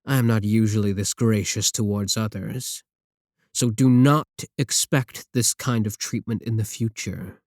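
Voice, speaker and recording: A man's voice: on the low side, steady tone, a little slow, darkish tone, dry recording. A man playing an arrogant, mocking villain scientist in an ASMR roleplay, talking close to the mic in a sarcastic, condescending way. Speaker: voice actor in audio roleplay.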